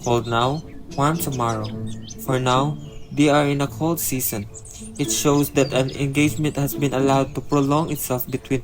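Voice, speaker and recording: A man playing a thoughtful father of adult children in a radio drama, speaking in a measured, philosophical way. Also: medium pitch, close to an everyday voice, rough recording. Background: prominent music and chirping birds.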